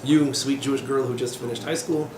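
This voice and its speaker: A man's voice: mid-range voice, fairly even intonation, a little fast. A man in his thirties teaching a class in a small room, talking informally with digressions, fillers and sardonic humor. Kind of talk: informal lecture with asides and jokes. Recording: echoey room with background noise.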